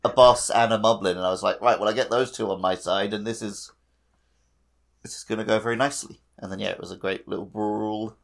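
A man's voice: lowish voice, fairly even intonation, fairly quick, gravelly. A man chatting casually about a video game as a podcast co-host.

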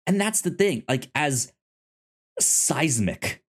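A man co-hosting a podcast, chatting casually about television in a halting, stammering way that often repeats words. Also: fairly high pitch, sing-song, breathy edge. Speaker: podcast host. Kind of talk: casual TV review chat.

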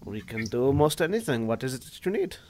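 A man voicing a female alien shopkeeper in a tabletop game, brisk and matter-of-fact. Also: mid-range voice, very animated, a little mellow, slight background noise, lightly acted. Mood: businesslike.

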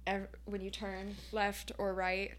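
A woman's voice: medium pitch, monotone, slow. A woman in her thirties, a podcast guest chatting and telling a story in easy casual banter. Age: 30s. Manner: chatty, storytelling, laughs easily.

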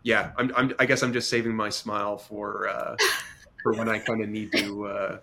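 A man speaking hesitantly in a casual group chat as a roundtable panelist, with many pauses and fillers. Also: lowish voice, level tone, creaky, pushed and bright, slight background noise.

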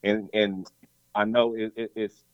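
A man's voice: lowish voice, little pitch movement, unhurried, clean tone, dry recording. A man, a rapper, talking casually in an interview, rambling and often repeating "you know what I'm saying.". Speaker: rapper guest. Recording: dull lo-fi dry sound.

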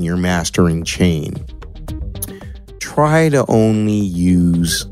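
A man's voice: sits low, a little mellow. An American man talking casually and playfully as a podcast guest, explaining how he works in a laid-back, colloquial way. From California, USA. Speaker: podcast producer and audio editor, guest.

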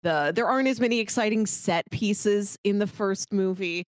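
A woman's voice: medium pitch, normal speed, no room sound. A woman co-hosting a podcast in casual, conversational discussion. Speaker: podcast co-host.

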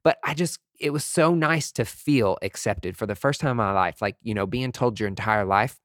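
A man's voice: on the low side, normal speed, fairly bright tone, no echo, studio quality. A man giving a candid, casual motivational monologue in a sassy, emphatic, conversational way, with camp slang and some swearing. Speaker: podcast speaker, motivational aesthetics-industry personality.

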